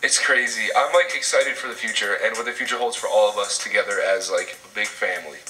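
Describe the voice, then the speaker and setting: A man's voice: fairly low pitch, fast talker, sharp tone. A young man chatting through a vlog outro, upbeat and chatty. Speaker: YouTuber. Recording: roomy, distant, music behind.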